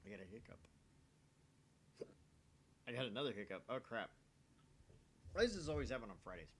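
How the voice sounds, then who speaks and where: A man's voice: medium pitch, very animated, fairly quick, fairly bright tone, slightly rough. A man hosting a let's play, reading visual novel narration aloud and chatting casually in a self-deprecating way.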